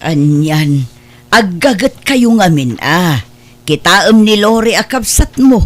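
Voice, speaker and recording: A woman's voice: medium pitch, big pitch swings, slow. An Ilocano-accented woman playing a frank older aunt who keeps a village store, in an audio drama. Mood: chiding. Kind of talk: Ilocano radio drama dialogue.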